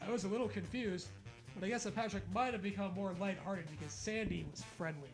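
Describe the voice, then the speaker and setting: A man's voice: high-pitched, fast, music in the background. A man co-hosting a comedic read-aloud of fan fiction, mock-serious, breaking off for joking asides. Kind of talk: comedic dramatic reading with asides.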